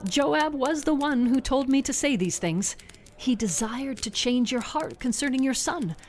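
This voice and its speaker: A man voicing a shrewd, pleading widowed village woman in a storybook retelling, with a soft, breathy voice. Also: extremely high pitch, lively intonation, unhurried, voice pushed up. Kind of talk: storybook narration.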